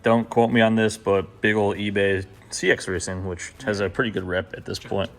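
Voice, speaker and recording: A man's voice: fairly low pitch, fairly even intonation, moderate pace, gravelly, fairly bright tone. A man hosting a car build vlog, talking casually and off the cuff with slang and easy swearing. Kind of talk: casual, unscripted vlog talk to camera. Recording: music playing behind the voice. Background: background music.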